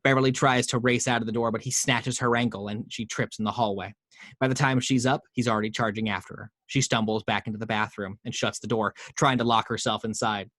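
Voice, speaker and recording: A man's voice: medium pitch, steady tone, fairly quick, fairly bright tone, no echo. Man reading screenplay action lines.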